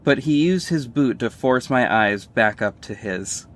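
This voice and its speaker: A man's voice: mid-range voice, animated, a little slow, fairly bright tone. An actor playing a sardonic male superhero who narrates his own story in a scripted audio drama, wry and earnest. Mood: tense recounting.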